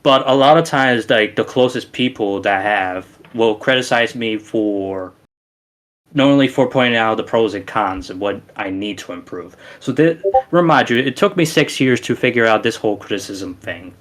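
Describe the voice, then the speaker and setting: A man's voice: fairly low pitch, normal speed, a bit bright. A man with a Romanian accent, a musician guest on a casual podcast, talking in an emphatic, rambling way with many restarts.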